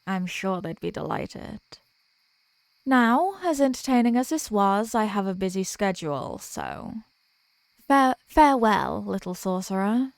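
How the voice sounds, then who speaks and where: A worldly, wry archdemoness , acted by a woman, speaks in a medium-pitched voice with coy, smug formality. She teases and flirts in the style of an intimate roleplay audio drama. The sound is dry, with no room around it. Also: sing-song, a little slow, vocal fry, no room sound. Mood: dismissive, amused.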